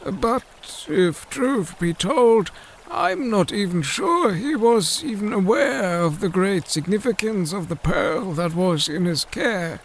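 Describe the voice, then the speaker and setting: High-pitched, solemn old fish-folk advisor. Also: male voice, expressive, slight background noise, heavily acted, rough recording. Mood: gently correcting. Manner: formal, deliberate, solemn storyteller. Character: old, grave, scholarly male fish-folk royal advisor. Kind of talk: fantasy audio drama acting.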